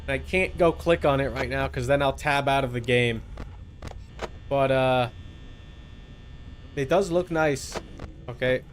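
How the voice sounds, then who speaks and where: A man's voice: mid-range voice, a little slow, pauses often, faint music behind. A man streaming a game with casual, playful live commentary, chatting to viewers and to the game's characters.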